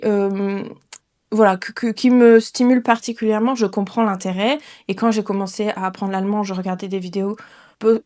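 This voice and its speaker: A woman's voice: medium pitch, darkish tone. Chatty woman teaching French. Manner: chatty, many fillers and self-corrections. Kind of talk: relaxed spoken lesson for learners.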